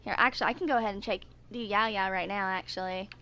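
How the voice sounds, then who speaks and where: A woman in her twenties streaming a video game, talking casually in a rambling, halting way that circles back on itself. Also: medium pitch, steady tone, bright, forceful tone. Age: 20s. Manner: rambling, halting, repeats herself. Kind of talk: casual livestream chat with some reading aloud of game text.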